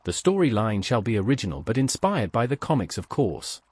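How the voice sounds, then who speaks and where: A man's voice: fairly low pitch, very animated, brisk, a little mellow, slight background noise. A man hosting a pop culture show, reading scripted explanatory narration.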